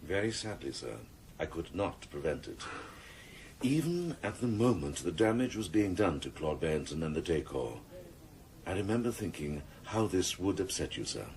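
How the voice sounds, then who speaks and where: A man's voice: sits low, big pitch swings, normal speed, darkish tone, distant mic. A man playing a sardonic, slippery British senior policeman in a radio drama, suave and mock-solemn with ornate, pompous phrasing. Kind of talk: 1990s radio drama acting.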